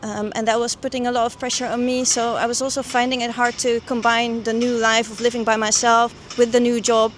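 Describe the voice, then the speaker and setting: Young lady, kinda high voice, thinking out loud in an interview, some noise behind. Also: little pitch movement, a little fast, few pauses. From the Netherlands. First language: Dutch. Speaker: guest, coaching client and doctor. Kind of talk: personal testimonial interview.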